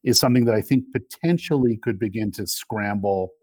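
Lower-pitched man, measured political analysis. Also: darkish tone, breathy edge, dry recording. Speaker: interview guest, political commentator.

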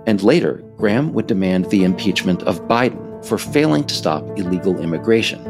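An American man reading scripted political nonfiction as author and narrator, in a measured, emphatic way. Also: deep voice, expressive, over music.

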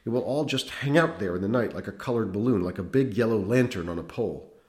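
A man's voice: on the low side, animated, fast talker, a little mellow, natural voice. A man voicing an agitated, unhinged middle-aged priest who rambles feverishly and insistently in an audiobook. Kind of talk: audiobook character voice. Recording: roomy echo.

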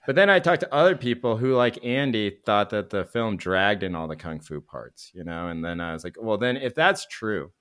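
A man's voice: lowish voice, lively intonation, normal speed, hardly any pauses, fairly bright tone, clean tone. A middle-aged man, born in Korea, chatting casually as a podcast host, opinionated and sweary, with a discursive storytelling way of talking. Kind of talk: casual podcast film chat.

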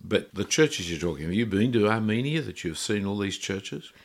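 A man's voice: fairly low pitch, lively intonation, brisk. A man hosting a podcast interview, asking questions in a conversational, probing way. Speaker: host.

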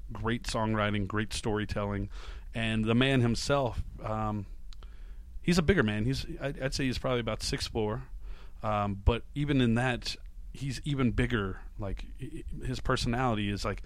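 Male podcast host, informal and self-deprecating, loose rambling delivery, slightly low voice, dry close sound. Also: no room sound. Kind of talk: rambling, casual podcast introduction.

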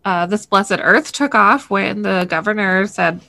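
A woman's voice: medium pitch, measured pace, creaky, sharp tone. A woman talking informally in a casual panel chat.

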